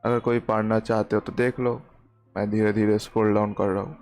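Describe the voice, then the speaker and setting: A man from India commenting casually on his game stream, offhand and chatty. His voice is low and gravelly, and he talks very fast. Faint game music plays under him. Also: level tone, darkish tone. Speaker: gaming streamer.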